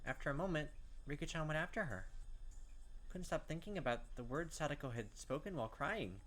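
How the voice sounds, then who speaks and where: A man's voice: on the high side, a bit bright. A man reading a story aloud expressively as a gaming host, breaking into casual, sweary commentary. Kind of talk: dramatic read-aloud narration with casual commentary.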